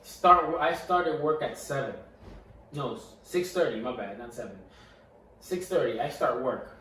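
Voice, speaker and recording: A man's voice: medium pitch, unhurried, a bit bright. A young man co-hosting a casual podcast, telling a story animatedly and stuttering and repeating words. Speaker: podcast co-host. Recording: echoey room, mic far off, faint noise.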